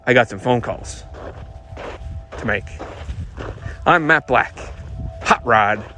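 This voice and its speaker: A man playing a world-weary hot rod detective, narrating a noir monologue in a dramatic, deliberate, knowing manner. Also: mid-range voice, bright, forceful tone, soft background music. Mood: resigned.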